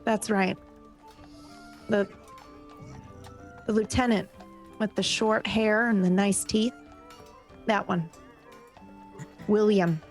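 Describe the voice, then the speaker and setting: Woman, tabletop player in character; brisk, persuasive, easy chatter. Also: medium pitch, fairly even intonation, soft background music.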